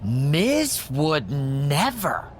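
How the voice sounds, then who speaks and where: Snide corporate underling, wrestling video game, high-pitched, swooping intonation, sarcastic mock courtesy. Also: male voice, huge pitch swings, drawn-out words, a little hiss or hum, full character voice. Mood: snide, needling. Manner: sarcastic, mock-polite needling. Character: smug, snide male corporate assistant in a wrestling story. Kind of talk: video game cutscene acting.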